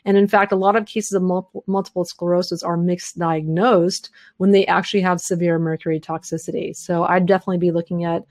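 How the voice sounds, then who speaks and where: A woman's voice: on the low side, flat delivery, darkish tone, dry recording, studio quality. A woman hosting a live health webinar, answering listener questions at length with frequent fillers; she is tired and losing her voice. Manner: talks fast in long run-on answers with many fillers.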